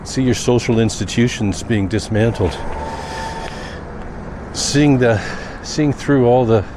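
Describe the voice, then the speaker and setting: A man talking off the cuff in a personal vlog, reflective and rambling with thoughtful pauses. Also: mid-range voice. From Canada. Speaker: daily vlogger. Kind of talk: unscripted personal vlog monologue. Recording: noisy outdoor recording with vehicle sounds.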